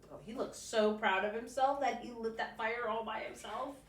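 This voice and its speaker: A woman's voice: a little high, moderate pace. Woman reading a storybook, roomy distant sound. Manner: expressive, stops to comment informally. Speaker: storybook reader. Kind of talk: reading a children's picture book aloud with casual asides. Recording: roomy, distant mic, faint background noise.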